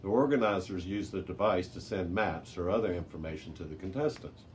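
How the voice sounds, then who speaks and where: A man's voice: sits low, a little fast. A man reading a children's chapter book aloud as a storyteller, with the odd small stumble over the words. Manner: occasional stumbles and self-corrections while reading. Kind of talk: children's audiobook read-aloud. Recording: noisy amateur recording with background hiss.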